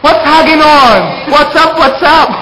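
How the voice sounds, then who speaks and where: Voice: high-pitched, slow. A performer with a Filipino accent voicing a jokey puppet in a playful, casual greeting, teasing and repeating punchlines to explain them. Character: jokey puppet sending mangled-word greetings to a friend. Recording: roomy echo, faint noise.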